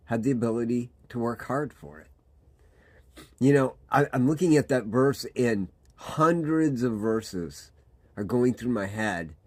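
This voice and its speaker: A man, a pastor giving a reflective devotional talk with pauses and restarts. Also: lowish voice, darkish tone, slightly rough. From Michigan, USA. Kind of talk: devotional sermon.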